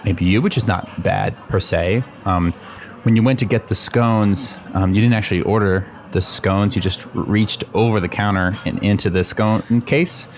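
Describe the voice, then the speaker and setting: An actor playing an awkward, concerned male coworker in improvised audio comedy, speaking haltingly and tentatively as he hedges diplomatically. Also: fairly low pitch, fairly even intonation, lightly acted. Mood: awkward, careful.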